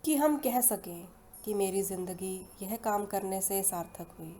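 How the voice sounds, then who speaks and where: An Indian woman leading a guided hypnosis meditation in slow, soothing, unhurried phrases with long pauses between them. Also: mid-range voice, animated, slight background noise. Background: soft meditation music.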